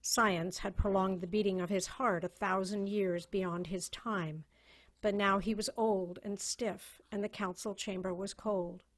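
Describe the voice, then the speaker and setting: A woman narrates with theatrical gravity. Her pace is slow and measured and her pitch sits in the middle, in a dramatic story reading recorded on a video call. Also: fairly even intonation.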